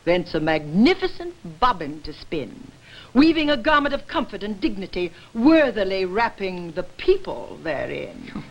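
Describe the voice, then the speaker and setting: A woman acting Lysistrata, slow, sweeping stage declamation. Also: mid-range voice, sing-song, low-quality recording. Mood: instructive, rousing. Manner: declamatory, precise, emphatic. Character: proud, persuasive Greek woman arguing against war. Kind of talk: staged reading of verse drama.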